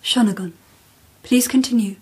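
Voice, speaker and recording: An actress playing a composed, courtly Japanese empress in a radio drama, with a formal, precise manner. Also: female voice, on the high side, normal speed, breathy edge, poor audio. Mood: gracious.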